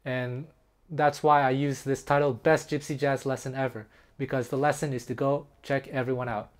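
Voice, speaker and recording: A middle-aged man who grew up speaking Taiwanese, a music teacher talking casually to camera in an earnest, reflective, slightly halting way. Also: mid-range voice, flat delivery. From French-speaking Canada. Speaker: guitar teacher. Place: small room indoors.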